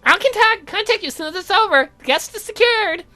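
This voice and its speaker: A ghoulish, man-eating young lady cousin acted out by a man from a horror game. The voice is extremely high and bright, rattling along quickly with gleeful, theatrical eagerness. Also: expressive, faint music behind, exaggerated voice. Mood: ghoulish delight.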